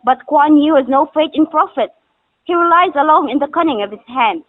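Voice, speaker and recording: A woman's voice: high-pitched, animated, a little fast, dry recording. A woman playing a young, devoted daughter in a stage drama, speaking formal, pleading lines in a declamatory way. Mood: earnest, respectful. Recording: muffled phone-like audio.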